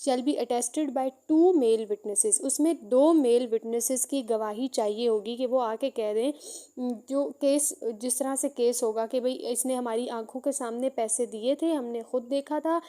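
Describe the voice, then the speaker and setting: A woman with a Pakistani accent giving an explanatory law lesson, mixing two languages and slowing down to read out points before explaining them. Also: on the high side, warm tone. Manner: code-switches between Urdu and English, slows down when reading out. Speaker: law lecturer.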